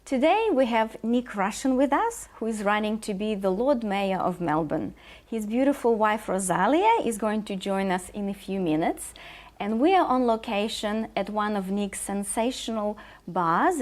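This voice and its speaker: A woman's voice: medium pitch, lively intonation, normal speed, a little mellow. A woman hosting an interview in a bar, asking polished, upbeat questions. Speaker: host. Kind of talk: interviewing a guest.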